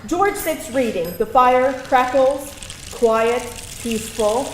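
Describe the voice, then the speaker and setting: A woman reading stage directions aloud as narrator at a live table read, expressive and theatrical, in a roomy venue. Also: high voice, slow pace. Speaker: screenplay narrator. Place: live event venue with audience. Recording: roomy live venue, faint background noise.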